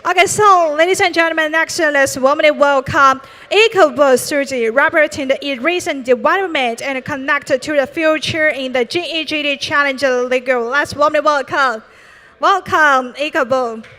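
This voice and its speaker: A woman's voice: high voice, moderate pace, sharp tone. A woman hosting a live esports event on stage, introducing players in Mandarin and English with upbeat, formal announcer phrasing. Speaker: bilingual event emcee.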